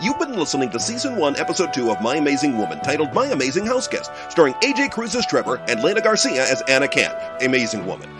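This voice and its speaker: A man's voice: fairly high pitch, wildly swooping pitch, quick pace, hardly any pauses. A man narrating scripted closing credits for an audio show, in an announcer's style. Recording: music bed under the voice. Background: music.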